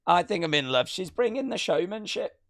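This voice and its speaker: Animated gravelly man, podcast banter. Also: sits high, a little fast. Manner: excitable, joking, animated. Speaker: podcast co-host.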